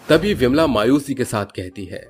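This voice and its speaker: A man narrating a scripted cartoon story in a steady, plain manner. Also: mid-range voice, moderate pace, slightly rough, faint music behind.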